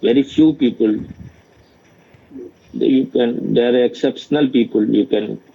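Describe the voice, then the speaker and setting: Guy doing informal spiritual q&a, dark mellow voice, blunt and repeats himself, kinda dull recording. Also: medium pitch, vocal fry, slightly rough. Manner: halting, repetitive, blunt and opinionated. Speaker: spiritual teacher in dialogue with a student. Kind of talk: informal spiritual question-and-answer talk. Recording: dull, lo-fi sound.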